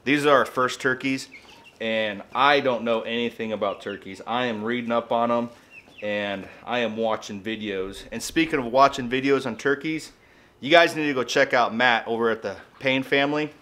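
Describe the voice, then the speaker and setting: A man's voice: medium pitch, normal speed, bright, forceful tone. A man in his thirties with an American accent, chatting casually to camera in a folksy, colloquial way as a homestead vlogger. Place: outdoors in a yard and in a garage. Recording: background noise, wind outdoors, birds.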